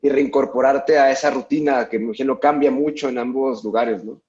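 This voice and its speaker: A man's voice: medium pitch, fairly even intonation, brisk, darkish tone, lo-fi sound. A man, chatting casually as an interviewer.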